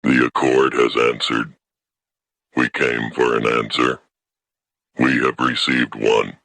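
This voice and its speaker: A man voicing a dignified alien clan elder, gravelly and grave, speaking in plain, measured statements. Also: extremely low pitch, level tone, fairly bright tone, no room sound, pitched below the performer's own voice, heavily acted. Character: dignified grieving alien trade-clade elder.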